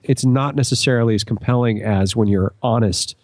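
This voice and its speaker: A man's voice: mid-range voice, fairly quick, darkish tone, no room sound. A man speaking as a podcast guest in casual conversation, explaining things in an earnest way.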